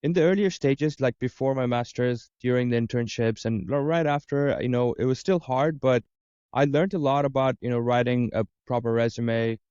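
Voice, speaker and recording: A man's voice: mid-range voice, normal speed, darkish tone, clear, resonant tone, dry recording, highs cut off. A man from Dubai answering interview questions as a career coach, talking conversationally and explaining with lists of examples. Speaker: interview guest, career coach and business owner.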